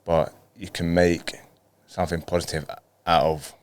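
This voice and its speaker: A man's voice: low-pitched, little pitch movement, a little slow. A man in his thirties from Colombia, a native Spanish speaker, telling personal stories casually as an interview guest. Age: 30s. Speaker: podcast guest, footballer.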